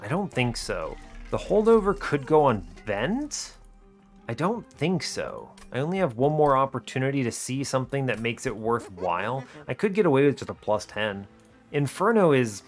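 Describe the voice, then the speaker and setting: A man giving strategy game commentary as host, thinking aloud in a deliberate, explanatory way and often questioning his own choices. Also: mid-range voice, big pitch swings, soft background music. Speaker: gaming video host.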